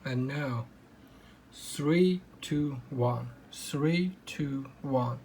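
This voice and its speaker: A man's voice: medium pitch, very slow, creaky, darkish tone, a little hiss or hum, rough recording. A young man whose first language is Kazakh, speaking plain non-native English with frequent hesitations while demonstrating electronics. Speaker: hobbyist electronics presenter. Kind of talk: hands-on electronics demo.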